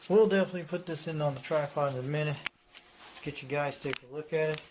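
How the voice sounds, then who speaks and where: Male hobbyist presenter, slightly high voice, casual rambling repetitive show-and-tell delivery, faint background noise. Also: fast, darkish tone.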